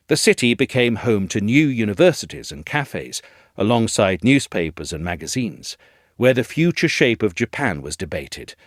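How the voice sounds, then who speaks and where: A man reading a scripted essay as narrator, in a measured, articulate storytelling delivery, recorded close up. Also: on the low side, dry recording.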